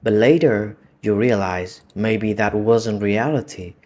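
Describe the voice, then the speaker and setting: Calm low-voiced man with a Vietnamese accent. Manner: calm, measured, contemplative. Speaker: video essay host. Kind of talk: reflective monologue.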